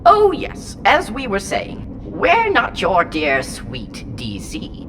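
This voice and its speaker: A woman's voice: mid-range voice, wildly swooping pitch, takes it slowly. A woman voicing an ancient, whimsical and haughty alien entity inside a small robot in an audio drama, speaking in theatrical, rambling, pompous riddles. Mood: whimsical, musing. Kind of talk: full-cast audio drama acting. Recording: clear noise bed behind the voice.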